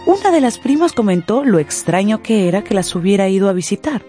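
A woman narrates a scripted radio drama. Her voice is warm and dark in tone, set at a middle pitch. Faint music runs behind the storytelling. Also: sing-song, a little fast.

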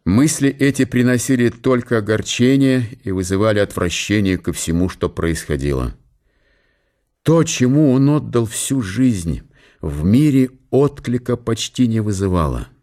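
An actor playing the sober narrator of a historical radio drama, reading literary prose in a measured, solemn way. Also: male voice, on the low side, expressive, darkish tone. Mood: somber. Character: sober storyteller of a historical tale. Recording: music behind the voice.

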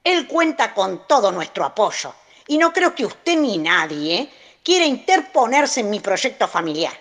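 A woman's voice: medium pitch, very animated, a little slow, a bit bright, exaggerated voice. A woman playing a pompous, manipulative grandfather reading his formal letters in an audio drama. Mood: pompous, insinuating.

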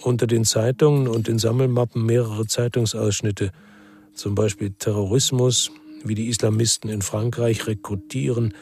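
A man playing a brooding former police detective who narrates a crime radio drama in a sober, terse, reflective way with a husky voice. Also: on the low side, fairly even intonation, normal speed, darkish tone.

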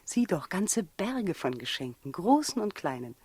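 An actress in a 1960s radio play as a gentle, proud, innocent young mother, speaking in a hushed, earnest, intimate way with a breathy voice. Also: fairly low pitch, sing-song, unhurried, no echo, full character voice.

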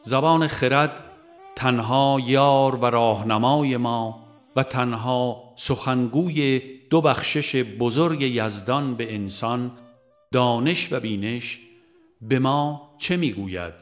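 A man narrating a religious audiobook in a formal, measured reading style. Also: mid-range voice, faint music behind. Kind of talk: scripted narration of a religious book.

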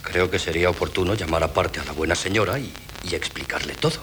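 An actor playing a calm, wry middle-aged doctor who talks in a dry, measured, ironic way in a 1970s radio drama. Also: male voice, deep voice, natural voice. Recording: noisy 1970s radio broadcast.

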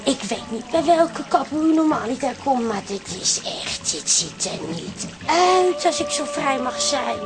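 A female hairdresser with an extremely high voice. She is chatty and fussy, chirping along while bluntly passing judgment, in the manner of a radio comedy drama. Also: very animated, unhurried, hardly any pauses, full character voice. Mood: blunt, critical. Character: chirpy, bluntly critical female hairdresser fussing over customers. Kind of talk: modern radio drama acting.

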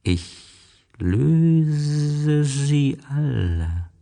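A man voicing a mysterious, powerful desert snake in a storybook, with slow, drawn-out, solemn delivery. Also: a little high, very animated, vocal fry, dark tone, pitched above the performer's own voice, full character voice. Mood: solemn, ominous.

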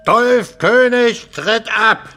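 A man's voice: extremely high pitch, very slow, pushed and bright, full character voice. An actor playing a proud, bitter opera star in his eighties, theatrical and grandiose, in a radio drama. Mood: bitter, wounded pride. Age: 80s.

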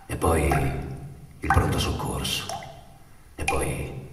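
An actor playing a grave, brooding narrator of a migrant's journey in a theatrical monologue, speaking in halting, intense phrases. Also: male voice, extremely low pitch, steady tone, exaggerated voice. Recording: echoey hall from far off, noisy.

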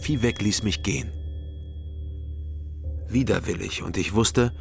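A man's voice: lowish voice, measured pace, gravelly, darkish tone, soft background music. An actor playing a troubled East German former policeman, narrating his own story in a radio crime drama with restrained, brooding delivery. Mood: sombre, restrained.

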